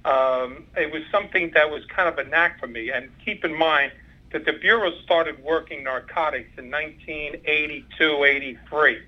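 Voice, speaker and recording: A man's voice: on the high side, normal speed. Cuban-born man on a muffled phone line. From Cuba. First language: Spanish. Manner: rambling, many fillers and restarts. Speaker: former undercover FBI agent, guest. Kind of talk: interview, recounting career.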